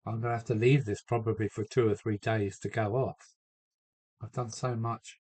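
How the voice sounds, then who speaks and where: A man with a cold chatting casually on a home live stream from his loft, answering viewers and trying out halting Spanish phrases. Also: on the low side, steady tone, a little mellow, slightly rough. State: has a cold. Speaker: model railway live streamer.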